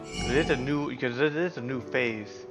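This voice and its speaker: A man livestreaming a game with casual, halting commentary, thinking aloud as he plays. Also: medium pitch. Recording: loud game music behind the voice. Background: loud game music.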